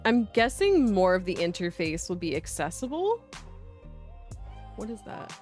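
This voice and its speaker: Slow-paced woman game streamer, playful and chatty. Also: mid-range voice, big pitch swings, a little mellow, soft background music. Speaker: livestream host playing visual novels. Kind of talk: casual game stream chat with reading aloud.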